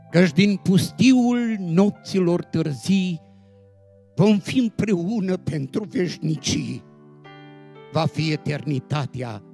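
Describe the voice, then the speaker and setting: A man reciting devotional poetry solemnly and expressively, with dramatic phrasing and deliberate pauses. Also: high-pitched, creaky, darkish tone. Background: instrumental music.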